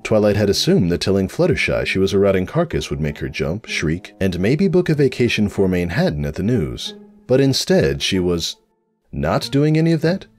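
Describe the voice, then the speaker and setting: A man's voice: sits low, sing-song, normal speed, a little mellow, soft background music. A man narrating a comic story as a dramatic reading.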